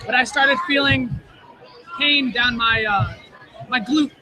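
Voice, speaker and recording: A man's voice: very high voice, slow pace, pushed and bright, rough recording. A man in his twenties, an injured wrestler, talking earnestly and confidently in a casual interview at a live wrestling show. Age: 20s. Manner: earnest and self-assured, plain talk. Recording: crowd noise at a live wrestling event.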